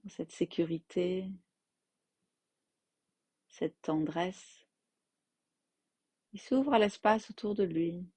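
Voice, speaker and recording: A woman leads a guided meditation in a lowish, very slow voice. Her phrasing is gentle and spaced by long pauses. The sound is dry and close, with no room around it. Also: breathy edge, dry recording. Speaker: yoga nidra teacher guiding relaxation.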